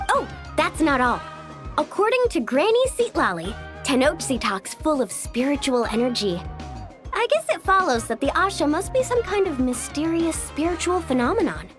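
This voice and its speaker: An outgoing young female travel guide in a fantasy game. She is high-pitched and playful, with very lively intonation and faint music underneath. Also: normal speed. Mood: upbeat. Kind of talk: animated game voice acting.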